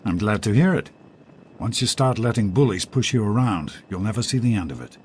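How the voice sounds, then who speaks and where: An actor playing a calm, caring middle-aged father, reassuring and measured, in a video game. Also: lowish voice, a little hiss or hum, close to an everyday voice. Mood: concerned, reassuring.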